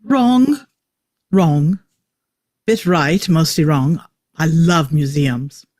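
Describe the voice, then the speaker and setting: A man's voice: on the high side, extremely slow, darkish tone, no echo, studio quality. A man acting an eccentric, opinionated time-traveller in a script table read, with clipped, emphatic delivery. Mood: smug, enthusiastic. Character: eccentric, opinionated male time-traveller, a know-it-all enthusiast.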